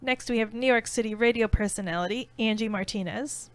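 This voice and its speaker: A woman's voice: a little high, a little fast, slight background noise. A woman co-hosting a podcast in a casual, chatty style. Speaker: podcast co-host. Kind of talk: casual chat.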